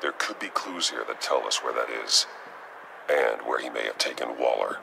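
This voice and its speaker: An actor playing a grim, terse masked vigilante in a video game, with a gravelly, measured voice and steady mentoring manner. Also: male voice, rumbling low, background noise, full character voice. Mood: focused, businesslike. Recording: music underneath.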